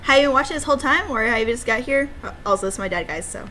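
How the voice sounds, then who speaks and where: A woman's voice: a little high, lively intonation, rapid-fire, bright, forceful tone. A woman streamer talking in a casual, rambling livestream style, playful and given to cutesy little exclamations. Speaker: streamer and amateur game developer. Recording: clear background noise.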